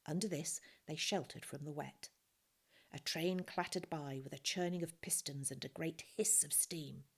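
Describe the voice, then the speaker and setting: A woman's voice: sits low, gravelly, slightly rough. A woman narrating a children's novel as a scripted reading.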